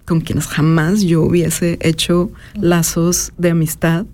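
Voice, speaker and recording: A woman, talking thoughtfully as an interview guest and pausing often with filler sounds. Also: lowish voice, level tone, a little mellow. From Mexico. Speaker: interview guest, artist and educator. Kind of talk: personal reflective conversation.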